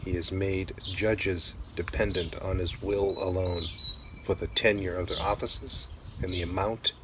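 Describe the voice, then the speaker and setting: A man with a deep voice reads a historical text aloud. Birdsong and clear ambient noise are heard behind him. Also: fairly even intonation, a little slow. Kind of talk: reading a historical document aloud.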